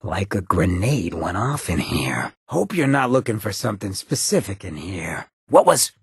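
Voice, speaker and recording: An actor playing a roguish, irreverent ghoul mayor in a video game, with a raspy voice, an American accent and a streetwise, sardonic drawl. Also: male voice, low voice, very animated, normal speed, no room sound, studio quality, full character voice. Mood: wry, curious, then wary. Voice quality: raspy, gravelly. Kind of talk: video game character dialogue.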